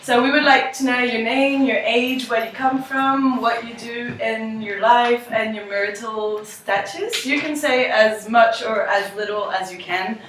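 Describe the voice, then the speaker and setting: A woman leading a focus group in a slightly high voice, stopping and restarting often. She is picked up from across a very echoey room, with faint hiss behind her. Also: fairly even intonation, fairly bright tone. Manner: halting, frequent restarts and fillers. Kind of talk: role-played focus group introduction. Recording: echoey room, far from mic.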